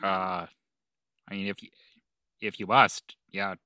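A man's voice: sits low, little pitch movement, slow pace, pushed and bright, clear, resonant tone, no room sound, clean studio sound, soft background music. A man performing scripted comedic dialogue in an audio drama. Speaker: show cast member.

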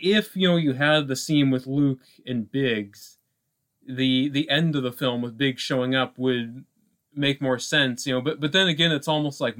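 A male podcast co-host in a casual film discussion. He thinks aloud, circling back and adding asides as he goes. His voice sits at an ordinary middle pitch for a man. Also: fairly bright tone.

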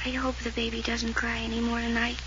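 Woman voicing a frightened young woman, high pitch, whispery, lo-fi radio. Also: completely flat delivery, fairly quick, natural voice. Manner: scared, tense, hushed whispers. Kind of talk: 1940s radio acting. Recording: dull lo-fi old radio transcription with faint hiss.

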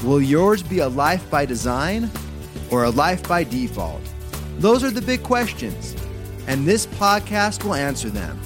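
A man's voice: on the high side, big pitch swings, a little slow. Guy doing a pumped-up podcast intro, voice swings a lot, music under it. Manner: rhetorical, motivational. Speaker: show announcer. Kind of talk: scripted show intro. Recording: music bed behind voice.

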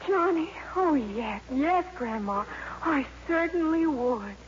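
A woman's voice: high voice, animated, slow. An actress playing an earnest, easily embarrassed teenage schoolgirl in a 1950s radio comedy, with a breathless, flustered delivery. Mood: dreamy, earnest. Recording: dull vintage radio broadcast with music.

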